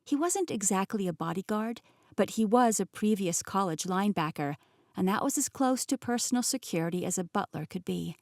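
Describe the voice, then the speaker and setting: A young adult woman tells a first-person fantasy story in a clear, expressive way. Her pitch sits in the middle of the range. The close studio sound is completely dry. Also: brisk, dry recording. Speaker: audiobook narrator. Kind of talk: first-person audiobook narration.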